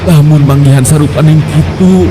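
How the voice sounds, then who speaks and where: A man's voice: on the high side, steady tone, normal speed, noisy background. A man with a Sundanese accent narrating a first-person scary folk tale dramatically, repeating phrases for suspense. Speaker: storyteller. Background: background music.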